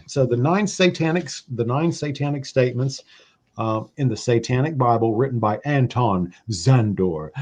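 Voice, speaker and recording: A man in his forties in casual chat, playful, reading passages aloud slowly and deliberately. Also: mid-range voice, darkish tone, slightly rough. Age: 40s. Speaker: guest.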